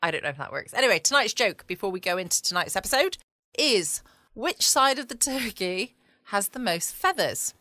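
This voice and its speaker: A woman's voice: medium pitch, sing-song, normal speed, sharp tone, dry recording, studio quality. A woman with a southern English accent hosting a casual bedtime podcast in a chatty, rambling, playful way. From England. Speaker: podcast host. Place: small home studio.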